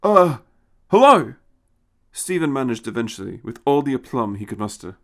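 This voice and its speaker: Mid-pitched male story narrator. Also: fast, darkish tone. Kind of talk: scripted narration of a science fiction story.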